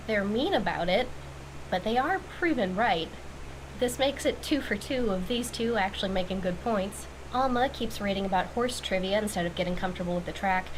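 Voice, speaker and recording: Wry woman commentator over background noise. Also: mid-range voice. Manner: wry, critical. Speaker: video commentator reviewing a cartoon. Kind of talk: recap commentary.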